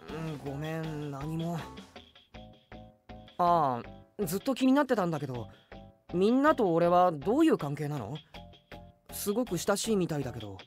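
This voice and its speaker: A woman's voice: sits low, sing-song, moderate pace, pauses often, darkish tone, faint music behind. A woman playing a polite, bewildered teenage boy in an anime audio drama, hesitant and earnest. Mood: confused.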